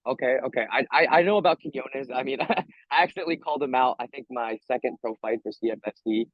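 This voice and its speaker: An American man talks like a fighter in a relaxed interview, slangy and repetitive. His voice sits at a middle pitch. The sound is dry and a bit dull and lo-fi. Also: fast talker, no room sound. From Hawaii, USA. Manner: slangy, repeats himself, says "man" often. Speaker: MMA fighter being interviewed. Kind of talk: casual interview chat.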